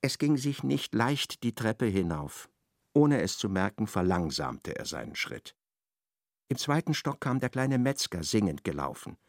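An actor narrating a literary radio play in a precise, measured way. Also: male voice, on the low side, sing-song, dry recording, studio quality. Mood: measured narration.

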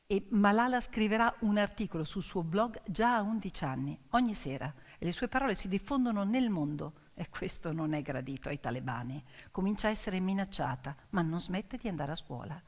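A woman narrating dramatically as a stage storyteller, in deliberate, short, suspenseful phrases. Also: on the low side. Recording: long hall reverb, piano music behind.

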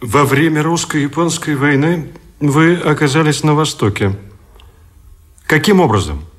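An actor playing a pensive revolutionary investigator in a radio play, speaking in a thoughtful, formal manner. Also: male voice, on the high side, lively intonation, unhurried. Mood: inquiring.

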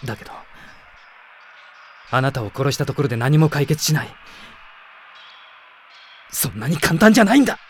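An actor playing an idealistic young prince with a formal, sincere, intense manner in a radio drama. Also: male voice, mid-range voice, very animated, moderate pace, pauses often, slight background noise, natural voice. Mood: earnest pleading. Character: earnest, idealistic young prince leading an army. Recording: music behind the voice.

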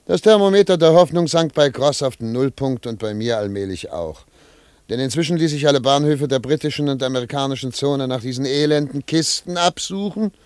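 A man's voice: mid-range voice, no room sound, close to an everyday voice. Man acting postwar investigator, wry, dramatic storyteller, radio play, dry close recording. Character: wry male postwar investigator, excitable, recounting his case.

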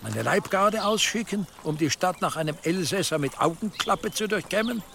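An actor playing a sceptical, condescending medieval archbishop with a measured, sardonic manner in a radio drama. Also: male voice, high voice, animated, vocal fry, pushed and bright, a little hiss or hum, exaggerated voice.

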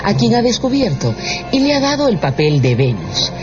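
An actress as a wry, theatrical storyteller, giving dramatised scripted narration for a radio drama. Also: female voice, mid-range voice, big pitch swings, moderate pace, music in the background, close to an everyday voice. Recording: dull radio sound, noisy background.

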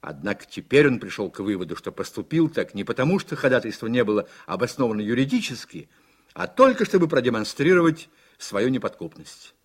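Expressive male radio drama narrator. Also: medium pitch, brisk, husky.

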